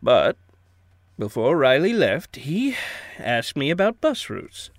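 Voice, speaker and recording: A man's voice: on the high side, very animated, slow pace, dry recording, clean studio sound, heavily acted. A man playing a genial, evasive older small-town mayor in an audio drama, folksy and avuncular, hemming and hedging as he talks. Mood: guarded, confiding.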